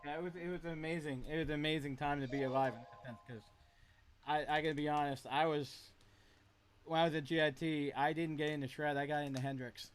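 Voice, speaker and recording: A man's voice: on the high side, steady tone, quick pace. A man on a video call chatting about his guitar years, reflective and rambling with frequent restarts. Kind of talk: casual chat.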